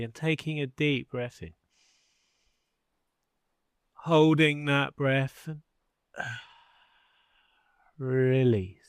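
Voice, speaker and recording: A man guiding a sleep hypnosis session in a soothing, measured manner with long pauses between phrases. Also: mid-range voice, smooth, no echo, clean studio sound. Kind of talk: guided sleep hypnosis narration.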